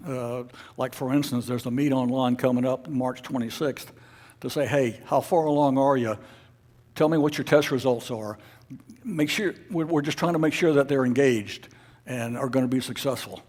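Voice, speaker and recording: Male club officer addressing members informally; average pitch, hesitant, meandering delivery full of fillers and false starts. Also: darkish tone. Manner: halting, rambling, frequent uhs and false starts. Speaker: amateur radio club officer presenting at a meeting. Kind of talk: informal meeting presentation.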